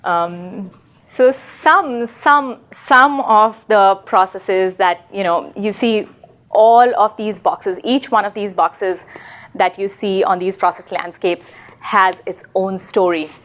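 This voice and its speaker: A woman presenting at a conference, moving quickly through slides with fillers. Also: mid-range voice, fairly bright tone.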